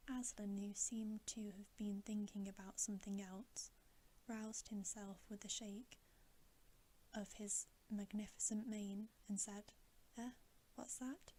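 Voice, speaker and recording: A woman reading a bedtime story as ASMR in a breathy whisper, slow and hushed, with long gentle pauses. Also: mid-range voice, flat delivery, slight background noise.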